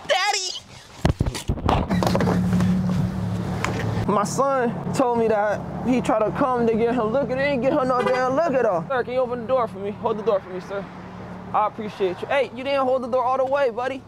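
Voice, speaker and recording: A young man putting on a higher, louder voice to play a pretend father in a disguise, complaining about service in a liquor store. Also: fast talker, heavy background noise. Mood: brash. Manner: loud, boastful, higher pitched put-on voice. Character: pretend middle-aged father in a disguise, brash.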